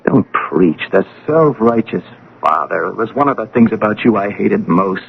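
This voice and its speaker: An actor playing a bitter, proud scientist son in an old-time radio drama, with a theatrical, emphatic delivery. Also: male voice, fairly low pitch, animated, moderate pace, gravelly, narrow, tinny audio, a little hiss or hum. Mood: bitter, defiant. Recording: band-limited radio drama recording.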